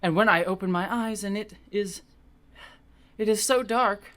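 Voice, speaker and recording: A reader voicing a frightened young foreign woman who pleads timidly in halting English, as in an audiobook romance. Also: mid-range voice, a bit bright, natural voice. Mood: frightened, apologetic. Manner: halting non-native English phrasing.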